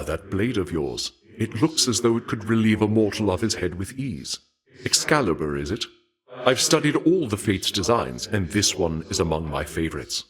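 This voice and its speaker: A man voicing a courteous, regal god of war in a video game, speaking formally in archaic, measured phrases with a dark fascination for death. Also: low-pitched. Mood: admiring, intrigued. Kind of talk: scripted video game character dialogue.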